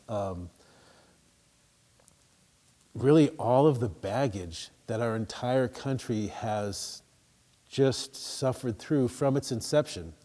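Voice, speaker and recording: A man's voice: mid-range voice, mellow, in a large hall. A man leading a group discussion, affirming and paraphrasing what participants say. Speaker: discussion facilitator.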